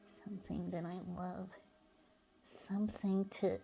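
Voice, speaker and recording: A woman's voice: lowish voice, flat delivery, takes it slowly, gravelly, mellow, soft background music. A woman voicing a miserable, self-pitying young male sea sponge in a dreamy, melodramatic way for a cartoon fan fiction reading. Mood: dazed musing.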